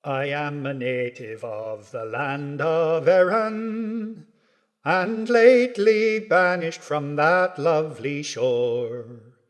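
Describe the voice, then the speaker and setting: A man sings a mournful folk ballad at an extremely slow pace. His voice is slightly high and rings in a long hall reverb, with faint music. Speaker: folk singer. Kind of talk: slow sung ballad with convict lament.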